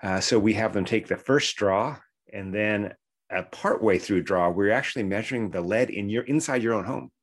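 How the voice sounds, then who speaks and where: A man's voice: fairly low pitch, moderate pace. A man talking conversationally as an interview guest, explaining things step by step like a researcher.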